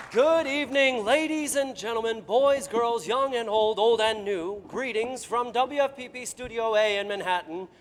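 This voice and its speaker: An actor playing a showy 1940s radio announcer hosting a live broadcast on a theater stage. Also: male voice, very high-pitched. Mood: announcing. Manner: showy, emcee patter. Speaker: radio show host. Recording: echoey hall with crowd.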